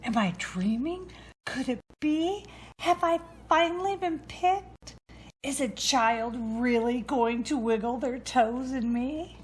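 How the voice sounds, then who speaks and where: A lonely little pair of storybook boots, voiced by a woman, earnest and wistful. The words come slowly, with faint noise behind. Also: high-pitched, pitched above the performer's own voice. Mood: hopeful wonder. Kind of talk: children's storybook character voice.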